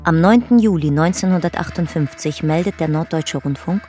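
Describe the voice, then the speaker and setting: An actress as a detached documentary narrator in a radio drama, giving clipped, factual narration. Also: female voice, sits low, normal speed, a little mellow, faint music behind, lightly acted.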